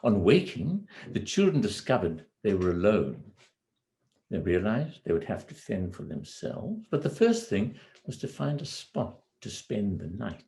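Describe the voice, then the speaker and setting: Male storyteller, lowish pitch, very slow deliberate phrasing for a fairy tale. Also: wildly swooping pitch, dark tone. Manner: deliberate, pausing between phrases. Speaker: amateur storyteller.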